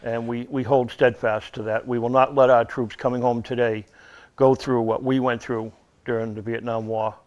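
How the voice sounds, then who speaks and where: A man's voice: mid-range voice, flat delivery, normal speed, a little mellow. An older man from Massachusetts, a veterans group representative, speaking earnestly as an interview guest. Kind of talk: interview guest recounting memories.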